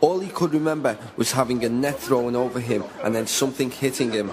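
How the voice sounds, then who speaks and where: A man playing a middle-aged, conflicted slave merchant in a radio drama, speaking in a measured, formal, earnest way. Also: medium pitch, a crowd in the background, lightly acted. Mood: grave, reflective. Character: conflicted older slave merchant, male, firm yet humane. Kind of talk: radio drama dialogue and first-person narration. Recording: music bed under the voice.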